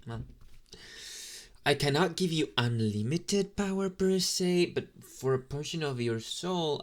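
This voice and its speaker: Man playing a slow-talking, sleepy slacker demon boy. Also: medium pitch. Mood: casual, bargaining. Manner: halting, casual, deadpan, mumbling asides. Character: young male demon, inexperienced, sleepy, mildly snarky slacker. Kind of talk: ASMR roleplay addressed to the listener.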